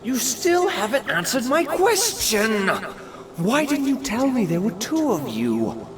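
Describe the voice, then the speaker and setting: A man's voice: extremely high pitch, sing-song, slow pace, reverberant, background noise, pitched above the performer's own voice, exaggerated voice. A man playing a troubled, accusing sorcerer in an audiobook, with an insistent, questioning manner. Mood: accusing, angry. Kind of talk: audiobook character voice.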